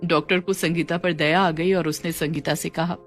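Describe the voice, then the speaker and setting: A woman's voice: deep voice, steady tone, moderate pace, soft background music. A woman reading scripted narration for a moral story.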